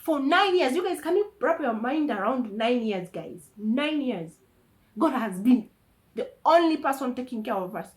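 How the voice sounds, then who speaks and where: A woman, giving an earnest, emotional personal testimony on her channel, often halting and repeating words. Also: high voice, expressive. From Tanzania. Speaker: vlogger.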